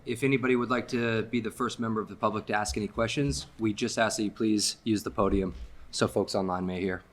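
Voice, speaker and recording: A man runs a town public hearing, calling on speakers politely and formally. His voice sits low. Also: steady tone, fast talker. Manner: polite, formal, lightly joking. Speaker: selectboard chair running the hearing. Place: town meeting hall.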